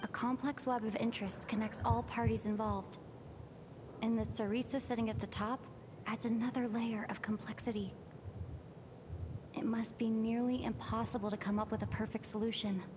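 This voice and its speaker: A woman's voice: a little high, fairly even intonation, moderate pace, background noise, natural voice. A woman playing a thoughtful young traveler in a video game, musing in an earnest, reflective way. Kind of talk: scripted video game voice acting. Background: game music.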